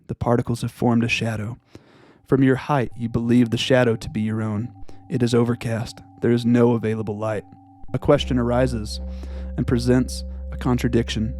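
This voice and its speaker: Man putting on a low, solemn dream narrator. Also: a little mellow, natural voice.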